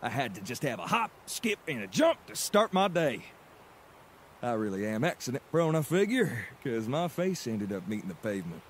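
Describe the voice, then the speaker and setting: A man's voice: mid-range voice, big pitch swings, moderate pace, a little hiss or hum, lightly acted. An actor playing a clumsy, cheerful adult mailman who talks in a folksy drawl and stammers when he gets flustered. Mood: chatty. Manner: flustered, stammers under pressure. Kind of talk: cartoon voice acting.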